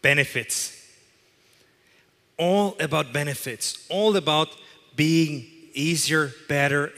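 Man, thirties, emphatic keynote, headset mic, bright tense voice, hall reverb. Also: fairly high pitch. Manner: emphatic, repeats phrases for effect. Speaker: keynote presenter. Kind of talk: corporate keynote speech. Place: large event hall with audience.

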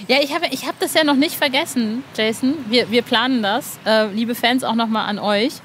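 Higher-voiced woman interviewing casually. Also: moderate pace, a bit bright. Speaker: podcast host, interviewer. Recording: faint background noise.

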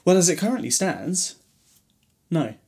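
A man's voice: high-pitched, very animated, a little slow, creaky, a little mellow, husky, exaggerated voice. A man playing a chatty, eccentric time-travelling alien in an audio drama, whimsical and breezily distracted. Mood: chatty, breezy. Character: eccentric, chatty, newly regenerated male Time Lord.

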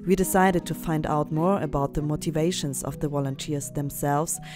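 A woman narrating a documentary in a measured, read-aloud manner. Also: deep voice, steady tone. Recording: music bed under the voice.

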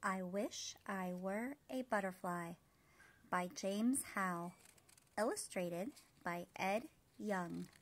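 A woman in her thirties reading a storybook aloud to young children like a kindergarten teacher, measured and expressive. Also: medium pitch, slight background noise.

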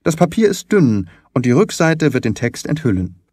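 A man's voice: medium pitch, sing-song, normal speed, a little mellow, no room sound. A man voicing a shrewd, self-assured detective who speaks precisely and courteously in an audiobook. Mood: analytical, self-assured. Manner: precise, probing, didactic. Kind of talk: audiobook character dialogue.

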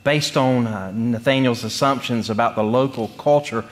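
A middle-aged man from North Carolina, preaching in a conversational, humorous storytelling way with deliberate pauses. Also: mid-range voice. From North Carolina, United States. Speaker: pastor. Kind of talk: sermon with personal storytelling. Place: church sanctuary, speaking from the pulpit.

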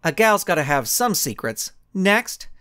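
Guy doing a cocky superhero lady, high swoopy voice, breezy. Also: very animated, a little slow, fairly bright tone, smooth, heavily acted. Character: confident glamorous superheroine woman, breezy.

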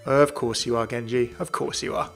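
A man's voice: mid-range voice, fairly even intonation, normal speed, creaky, a bit bright, faint music behind. A young man hosting and narrating a scripted fan video in a chatty, jokey way.

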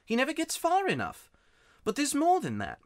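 An aggrieved young schoolboy from a children's storybook, as acted out by a narrator. He speaks emphatically in a raised, very animated voice, close and dry. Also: sits high, normal speed, no room sound, pitched above the performer's own voice, exaggerated voice. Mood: secretive, uneasy. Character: miserable, wound-up, grubby young boy from the country. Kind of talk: children's audiobook character voice.

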